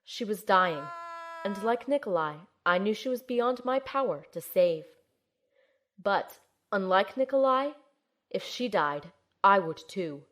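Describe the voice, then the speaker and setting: A woman's voice: mid-range voice, animated, unhurried, a bit bright. A woman playing an earnest young healer in an audio drama, telling and acting out her own ordeal with soothing, emotionally involved delivery. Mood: tense, somber. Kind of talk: amateur audio drama, first-person narration and dialogue.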